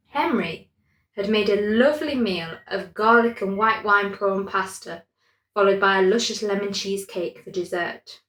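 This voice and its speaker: A woman's voice: mid-range voice, fairly bright tone, reverberant, low-quality recording. A woman reading a scripted bedtime story as its narrator.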